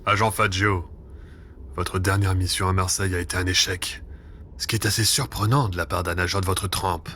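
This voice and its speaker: Man acting a stern, low-voiced intelligence chief. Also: fairly even intonation, normal speed, pushed and bright, a little hiss or hum. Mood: stern, reproachful. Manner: formal, curt, reproachful. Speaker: actor in an audio drama. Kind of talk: French spy audio drama acting.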